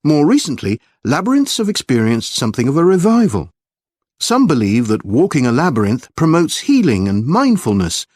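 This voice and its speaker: A man delivering a scripted academic lecture in a measured, clearly enunciated way. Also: medium pitch, darkish tone, no echo, clean studio sound. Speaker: lecturer reading an exam listening passage.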